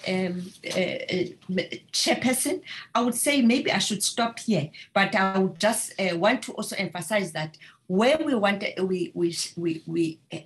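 South African woman on a video call. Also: fairly low pitch. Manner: measured, many filler pauses. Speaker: panelist. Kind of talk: formal panel remarks. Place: indoor room at home. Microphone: video call webcam.

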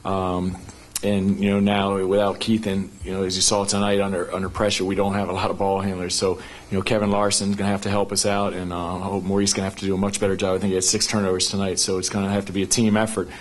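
Fast-talking middle-aged male coach. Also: deep voice, completely flat delivery. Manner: rapid, with frequent "you know" fillers and restarts. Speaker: basketball head coach. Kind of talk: post-game press conference answers. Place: press conference room at a sports arena. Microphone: table microphone on a stand.

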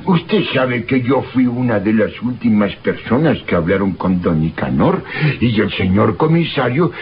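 A man's voice: fairly low pitch, very animated, unhurried. An actor playing a deferential, nervous village man, hesitant and repeating himself, in an old radio drama. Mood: respectful unease. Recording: dull noisy old radio recording.

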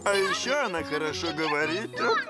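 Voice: mid-range voice, sing-song, brisk, over loud music, natural voice. An actor voicing a dim, earnest male crocodile henchman in a cartoon, with a goofy manner. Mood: dreamy, eager. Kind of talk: cartoon dub acting.

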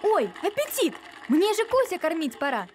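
A woman voicing an exuberant, sporty, admiring young child in an animated cartoon, excitable and gushing. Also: very high voice, normal speed, slight background noise. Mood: eager, excited.

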